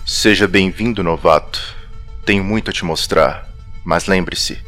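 An actor playing a grave, portentous veteran of a secret patrol briefing a recruit in a sci-fi audio drama trailer. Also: male voice, deep voice, a little slow, fairly bright tone, soft background music. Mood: grave, solemn.

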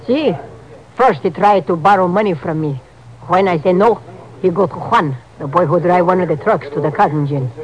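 A woman's voice: fairly low pitch, normal speed, lo-fi sound, close to an everyday voice. An actress playing a wary, hardworking Mexican mother in a 1950s radio drama, speaking emphatic broken English with a Mexican Spanish accent. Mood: earnest. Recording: old radio drama with music.

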